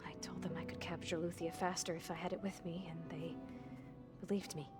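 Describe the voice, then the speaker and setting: A woman's voice: fairly low pitch, steady tone, a little fast, lightly acted. An actress playing an anxious, defensive young clone woman in a video game, with clipped, formal, precise wording. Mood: guarded, subdued. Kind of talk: video game dialogue acting. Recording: music behind the voice.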